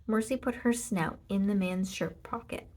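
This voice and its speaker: A woman narrating a children's storybook in an expressive read-aloud style. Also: mid-range voice, normal speed. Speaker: storybook reader.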